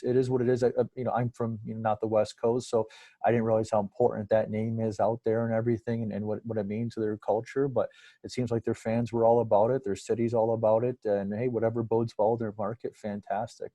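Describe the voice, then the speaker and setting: A man's voice: on the low side, mellow, no echo. A man giving a casual, rambling sports interview as a guest broadcaster, in a hesitant, conversational way.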